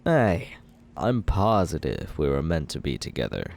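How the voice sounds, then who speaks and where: A man's voice: a bass voice, wildly swooping pitch, unhurried, vocal fry, darkish tone, full character voice. A young man playing a cocky male villain who courts the listener in an ASMR roleplay, teasing and laid-back as he justifies himself.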